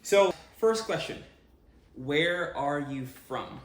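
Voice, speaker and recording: A man's voice: on the high side, expressive, slow pace. A man from Jamaica chatting casually and teasingly as a vlog co-host, asking questions. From Jamaica (Montego Bay). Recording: roomy echo, clear background noise.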